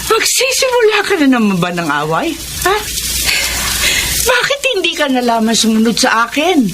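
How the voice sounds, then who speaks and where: Bossy radio drama mom, high voice swooping all over, sizzly noise behind. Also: female voice, wildly swooping pitch, moderate pace. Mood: scolding. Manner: insistent, scolding, emotional. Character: domineering mother pushing her grown daughter to marry. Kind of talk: Filipino radio drama acting.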